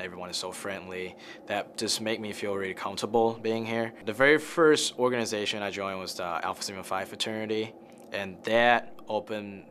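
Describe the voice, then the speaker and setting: A man's voice: lowish voice, a bit bright, a little hiss or hum. A young man from Taiwan, a college student giving an interview testimonial. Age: young adult.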